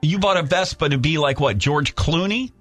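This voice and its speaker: A man's voice: a little high, normal speed, soft background music. A man hosting a radio show, teasing a caller with laughing asides. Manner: teasing, lively, laughing interjections. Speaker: radio host. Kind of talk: morning radio banter.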